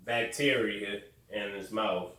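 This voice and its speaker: A man's voice: on the low side, slow, fairly bright tone. A young man hosting a podcast, telling a story in a blunt, casual way with swearing and restarts. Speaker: podcast host. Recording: very echoey room, distant mic, faint noise.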